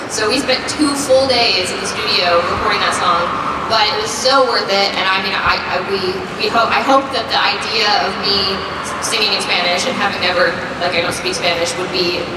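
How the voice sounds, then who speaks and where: Young woman, chatty, upbeat, filler-heavy, distant mic, loud background noise. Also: medium pitch, fairly even intonation, normal speed. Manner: upbeat and chatty, many "like" fillers, laughs often. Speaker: musician interviewee. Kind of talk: casual interview answers. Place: busy convention floor. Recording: echoey convention hall from far off, loud crowd noise.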